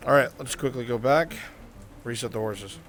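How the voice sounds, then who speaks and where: A man's voice: mid-range voice, moderate pace, a bit bright. A man improvising in character in a VR roleplay game, with a commanding, bantering way of talking. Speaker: roleplay player leading a group. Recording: rain and music behind the voice. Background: rain and music.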